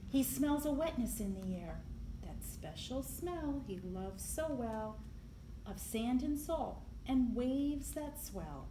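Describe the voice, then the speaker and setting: A woman reading a picture book aloud to young children in an expressive, deliberate storytelling manner, with emphasis on the sound words. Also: a little high. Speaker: library storyteller. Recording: echoey room, clear background noise.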